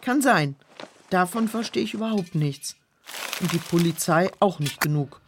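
A woman plays an anxious, earnest housekeeper in a children's radio play. Her voice sits fairly low and sounds fretful and easily flustered. Clear noise runs behind the recording. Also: expressive, moderate pace, close to an everyday voice. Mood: puzzled, earnest. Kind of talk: children's audio drama acting.

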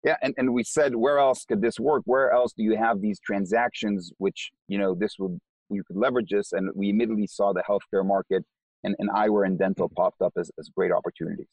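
A man's voice: fairly low pitch, fairly even intonation, fairly quick, a little mellow, no room sound, rough recording. A man with an Israeli accent, a company co-founder, explaining his business in an interview and often repeating words as he starts sentences.

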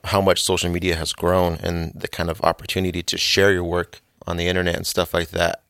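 A man with a very low voice talks quickly and loosely, encouraging creatives in a relaxed way. The recording is close and dry. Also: creaky, clear, resonant tone, no room sound. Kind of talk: casual podcast conversation.

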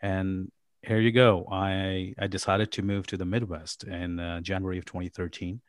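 A man's voice: low voice, little pitch movement, moderate pace. A middle-aged man from Pakistan, a startup founder chatting in a podcast interview, rambling with lots of ums and uhs. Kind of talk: casual interview chat.

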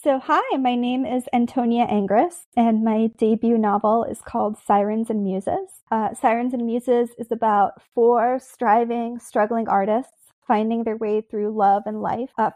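A woman's voice: a little high, dark tone, no room sound. A woman author raised in Costa Rica, a podcast guest who gives a composed, practiced summary of her work. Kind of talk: interview answer.

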